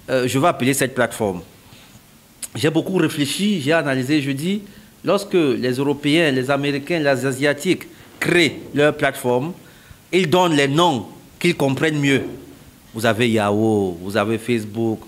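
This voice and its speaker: A middle-aged man from Cameroon answering interview questions, explaining step by step and repeating phrases for emphasis. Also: medium pitch. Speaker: interviewee, company founder.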